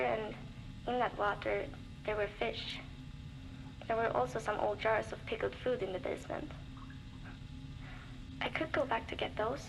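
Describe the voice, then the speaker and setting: A woman's voice: on the high side, gravelly. An actress playing a young, lonely, worn-down woman surviving alone in a frozen wasteland, speaking wearily and bluntly in a game's audio diary, with dry humour and frequent swearing. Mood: weary. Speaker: game character recording audio diaries. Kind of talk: scripted voice acting as a diary log. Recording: noisy cassette tape recording.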